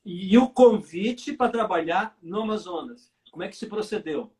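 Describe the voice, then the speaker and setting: A male pastor hosting a live online interview, enthusiastic and quick to chime in. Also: high voice, a crowd in the background. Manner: enthusiastic and lively, interjects often. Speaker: pastor host. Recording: roomy sound, distant from mic.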